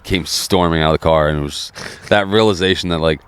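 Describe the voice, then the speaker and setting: A man's voice: deep voice, fairly quick, clear, resonant tone. A man in his thirties from New Jersey, co-hosting a podcast in casual, profane chat while smoking a cigar. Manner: laid-back, profane storytelling. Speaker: podcast co-host.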